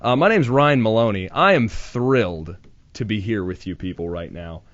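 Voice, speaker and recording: A man's voice: fairly low pitch, animated, moderate pace, fairly bright tone, no echo, muffled recording. A young man hosting his first college radio show, talking casually with nervous restarts and self-deprecating asides in a small studio. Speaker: radio host. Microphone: studio microphone.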